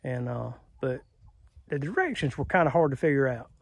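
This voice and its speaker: A man's voice: medium pitch, lively intonation, moderate pace, gravelly, dry recording. A man with a Southern US accent, chatting in a folksy, rambling way about his work at home. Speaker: homesteader. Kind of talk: casual vlog talk.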